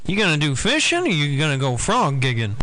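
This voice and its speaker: A man's voice: a little high, wildly swooping pitch, brisk. A man chatting casually with other operators over CB radio. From United States. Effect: CB radio transmission. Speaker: CB radio check-in participant. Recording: noisy CB radio with hiss.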